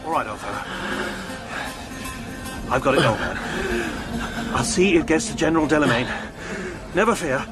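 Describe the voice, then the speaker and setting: An actor playing a brisk, kindly army captain who gives crisp commands. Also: male voice, a little high, lively intonation, natural voice. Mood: commanding. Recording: radio drama with music.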